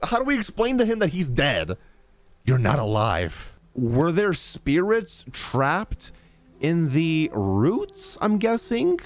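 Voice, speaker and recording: A man's voice: on the high side, wildly swooping pitch, takes it slowly. A young man giving casual live reaction commentary as a game streamer, close to the microphone. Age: young adult. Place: small indoor streaming room. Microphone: close studio microphone on a boom, headset on.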